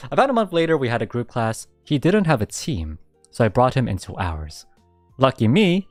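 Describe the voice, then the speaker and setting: A young man chats casually on a stream, reacting in an animated way to the stories he reads out. His voice leaps up and down with excitement and stays close and dry on the mic. Soft instrumental music plays quietly behind him. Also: no echo. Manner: animated, laughs often, jumps high when excited. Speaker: streamer reading listener submissions. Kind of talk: casual radio-style chat.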